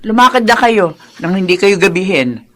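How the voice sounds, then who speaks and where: A woman's voice: mid-range voice, animated, moderate pace, natural voice. A woman playing a kindly, fussing elderly grandmother in a Filipino radio drama, chatty and full of exclamations.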